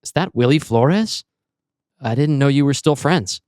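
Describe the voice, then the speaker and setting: Gentle ailing father, acted by a man, dry recording. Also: mid-range voice, lively intonation, unhurried, dry recording, natural voice. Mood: gently curious. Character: ailing, gentle father, tender toward his son. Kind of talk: acted dialogue in an audio screenplay reading.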